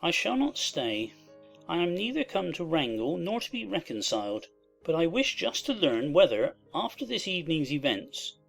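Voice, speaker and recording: Creaky, sorrowful gentleman husband acted out. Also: fairly high pitch, very animated, normal speed, faint music behind, exaggerated voice. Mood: despondent, firm. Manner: formal, despondent, firm. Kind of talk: dramatized classic novel reading.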